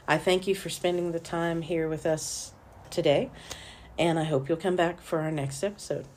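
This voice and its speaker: Hoarse, earnest woman podcaster. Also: on the low side, a little mellow, slight background noise. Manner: earnest, encouraging, faith-centered. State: hoarse, losing her voice. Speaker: podcast host for a small publisher. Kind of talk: solo podcast monologue.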